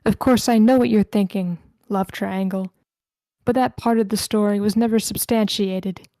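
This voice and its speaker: A woman's voice: mid-range voice, steady tone, normal speed, dark tone, no echo, lightly acted. A woman playing a tour guide in her late twenties who tells eerie local legends at a screenplay table read. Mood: eerie storytelling.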